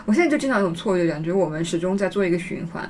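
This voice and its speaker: A woman's voice: on the low side, animated, very fast, crowd noise. A talkative woman hosting a casual group chat, speaking in a reflective way. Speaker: podcast host. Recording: faint background noise.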